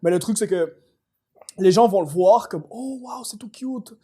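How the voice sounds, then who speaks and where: A man with a Quebec French accent in casual movie chat, excitable and slangy, switching between French and English. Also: sits high, a little slow, breathy edge.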